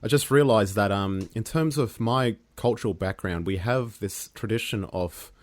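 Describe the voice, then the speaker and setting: A man's voice: fairly low pitch, moderate pace, no room sound. A man whose first language is Serbian, a musician chatting as a guest, rambling with many fillers and restarts. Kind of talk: casual interview chat.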